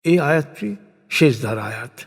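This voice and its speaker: A man reading a scripted scripture translation in a measured, solemn, deliberate manner. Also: fairly high pitch, sing-song, vocal fry, breathy edge. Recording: long hall reverb on voice.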